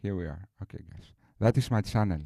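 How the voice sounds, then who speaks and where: A man's voice: fairly low pitch, brisk, creaky, warm tone, no room sound, clean studio sound. Boastful rambling man on a stream. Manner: boastful, rambling with many restarts. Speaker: streamer host. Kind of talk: casual live-stream talk.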